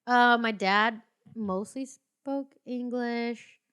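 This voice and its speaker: A woman's voice: fairly high pitch, extremely slow, a bit bright, clear, resonant tone. A young American woman, a podcast guest, chatting casually with lots of "like" and frequent laughter. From United States. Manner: chatty, laughs often, heavy use of "like". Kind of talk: casual chat.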